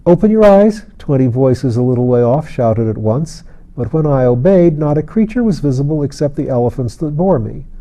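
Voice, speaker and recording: A man reading a fantasy novel aloud as an audiobook narrator, in a measured storytelling manner. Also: mid-range voice, mellow, poor audio.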